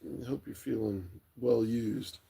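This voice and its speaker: A middle-aged man with a raspy, tired late-night voice, hosting a livestream in a rambling, relaxed way as he chats and reads comments aloud. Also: fairly low pitch, slow. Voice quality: raspy, gravelly. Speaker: livestream host. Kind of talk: casual chat, reading viewer comments aloud.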